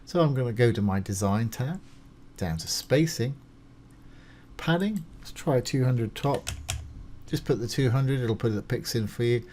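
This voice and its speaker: A man's voice: mid-range voice, animated, a little slow, long pauses, darkish tone, a little hiss or hum. A man presenting a casual step-by-step screencast tutorial, informal and reassuring as he explains each step while doing it. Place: voice-over of a screen recording.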